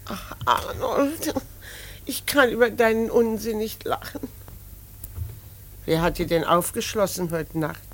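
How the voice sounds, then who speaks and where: A woman's voice: medium pitch, a little slow, pauses often, a little hiss or hum, natural voice. An actress playing a troubled middle-aged mother in a radio play, lamenting, pleading and scolding. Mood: sighing, troubled. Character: anxious, reproachful mother of a grown son. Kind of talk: classic German radio play acting.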